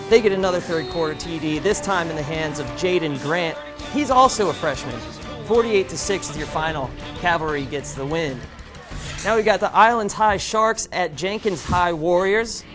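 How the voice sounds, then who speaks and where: An American man reporting high school football highlights in a conversational, upbeat style. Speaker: sports reporter. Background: music bed.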